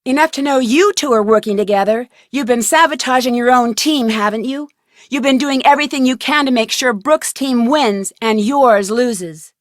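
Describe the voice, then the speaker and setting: A woman's voice: a little high, normal speed, no room sound, clean studio sound, lightly acted. A woman voicing a plucky, curious young female detective in a video game, speaking earnestly and conversationally as if thinking aloud. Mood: accusing. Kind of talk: scripted video game voice acting.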